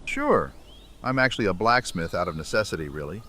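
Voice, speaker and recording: A man's voice: low voice, expressive, a little fast, pushed and bright, a little hiss or hum. A man playing an obliging, laid-back blacksmith in an audio drama, speaking in a plain, folksy way. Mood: amiable. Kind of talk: fan audio drama acting.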